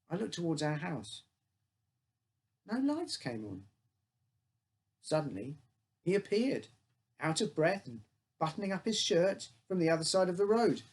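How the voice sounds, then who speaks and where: A man with a British accent reading his own scripted short story, narrating in the first person as a child. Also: fairly high pitch.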